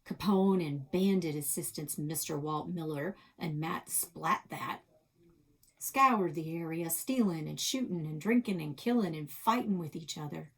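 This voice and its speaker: A woman's voice: low voice, a little slow, fairly bright tone, slightly rough. A woman narrating a children's story expressively as a library read-aloud host.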